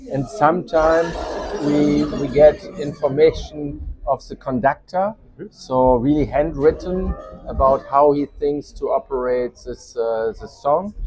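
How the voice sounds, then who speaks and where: A man's voice: on the high side, mellow, rough recording. Man presenting with a German accent. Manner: non-native English with halting, searching phrasing. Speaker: company representative presenting a product. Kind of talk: informal product demonstration.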